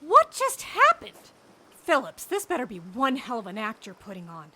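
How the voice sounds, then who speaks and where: A woman's voice: a little high, wildly swooping pitch, normal speed, pushed and bright, full character voice, poor audio. An actress playing a determined, wry woman agent muttering urgently to herself in a comedic audio drama. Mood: alarmed, urgent.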